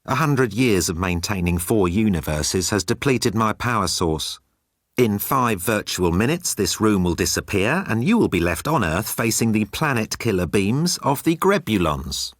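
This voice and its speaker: A man's voice: on the low side, big pitch swings, normal speed, no echo, clean studio sound. A man voicing a haughty, literal-minded electronic bird in an audiobook, with clipped, formal, pedantic delivery. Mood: coolly condescending.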